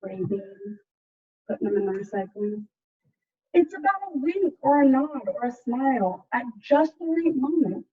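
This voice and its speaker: Lady teacher reading to kids, kinda high voice, chatty and expressive, sounds far from the mic. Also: a little slow, narrow, tinny audio. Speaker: teacher reading aloud to students. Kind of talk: expressive storybook read-aloud with casual asides. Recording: distant mic, thin band-limited sound.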